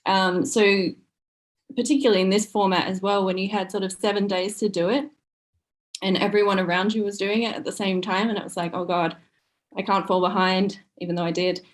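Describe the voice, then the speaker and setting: A woman's voice: medium pitch, steady tone, fairly quick. A woman chatting casually as a podcast guest, self-deprecating and acting out her own thoughts. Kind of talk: casual chat.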